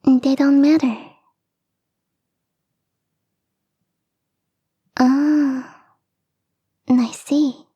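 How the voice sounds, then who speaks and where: Playful, devoted fox girl, played by a woman: slow, soft, mellow whisper, intimate ASMR roleplay, close dry mic. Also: sits high, flat delivery, dry recording, lo-fi sound. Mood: coaxing, reassuring. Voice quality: breathy, whispery. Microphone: close microphone, dry.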